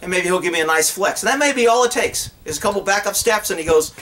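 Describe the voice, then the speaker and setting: A man's voice: high voice, quick pace, slight background noise. A middle-aged man giving blunt, folksy, off-the-cuff instruction to camera as a horse trainer. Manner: animated, blunt, folksy, acts out imagined dialogue. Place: outdoors on open rural land.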